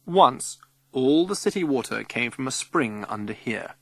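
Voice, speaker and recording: A man voicing an excitable male schoolteacher on a class outing, emphatic and instructive, in a children's audiobook. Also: medium pitch, unhurried, vocal fry, dry recording. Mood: enthusiastic teaching.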